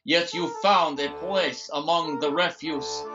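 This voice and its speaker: An actor playing a smug, gloating villain doctor in an audio drama, pompous and taunting in a theatrical, deliberate way. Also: male voice, fairly high pitch, fairly even intonation, dull audio, over music. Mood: mocking.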